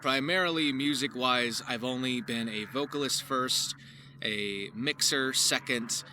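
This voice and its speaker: A man's voice: mid-range voice, a little slow. A man presenting his voice acting work in a scripted, theatrical narration with florid wording. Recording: faint ambient noise behind.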